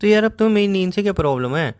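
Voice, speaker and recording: A man's voice: high voice, animated, rapid-fire, darkish tone. A young man in a casual couple's phone argument, defensively making excuses. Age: young adult. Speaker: boyfriend on a phone call. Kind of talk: casual relationship argument in call format.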